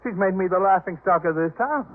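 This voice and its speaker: An actor playing a proud, disdainful master of a biblical household in a 1950s radio drama, with haughty, emphatic delivery. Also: male voice, high voice, animated, a little fast. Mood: indignant. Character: proud, indignant, disdainful male head of household in biblical times. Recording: muffled narrowband 1950s radio recording.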